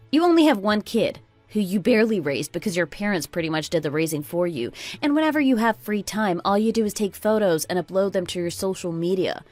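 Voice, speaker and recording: A woman voices a sardonic, stubborn daughter-in-law for an audio drama. She drops to a lower, restrained register with measured, cutting delivery. The sound is dry, with music behind. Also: dry recording, natural voice. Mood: coolly cutting. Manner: low-key, measured, dry, pointed. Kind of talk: scripted radio drama dialogue.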